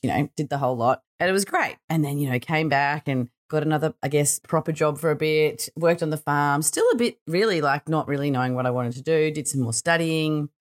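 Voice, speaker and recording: A middle-aged Australian woman with a slightly croaky voice, hosting a solo podcast in an informal, chatty and reflective way. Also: low voice. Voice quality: slightly croaky early-morning voice. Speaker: podcast host.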